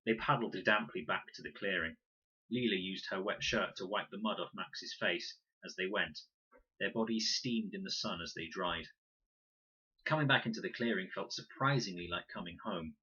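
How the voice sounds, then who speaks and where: Man, lowish husky voice, bright and lively, expressive children's story narration, slightly dull recording. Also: moderate pace. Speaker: audiobook reader and teacher reading aloud. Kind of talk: animated scripted narration of a children's adventure story.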